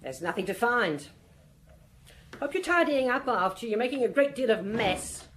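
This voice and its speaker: A woman's voice: a little high, big pitch swings, moderate pace, a bit bright, slight background noise. A woman playing a stubborn, hostile concierge in a radio drama, curt, defiant and indignant. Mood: sullen.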